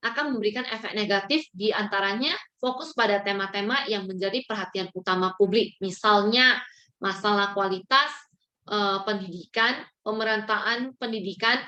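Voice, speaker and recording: A woman's voice: medium pitch, pushed and bright, dull audio. A woman giving a formal, didactic lecture-style explanation, as if working from notes. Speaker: lecturer presenting on education policy research.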